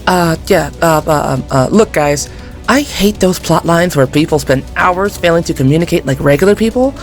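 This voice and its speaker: A woman's voice: on the low side, moderate pace, faint music behind, close to an everyday voice. A woman voicing a nervous young male gamer in an audio drama, hesitant and stammering. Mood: flustered.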